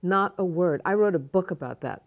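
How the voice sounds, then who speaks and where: Woman guest expert, low voice, calm measured explanatory delivery for a broadcast interview. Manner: measured, explanatory, emphatic repetition.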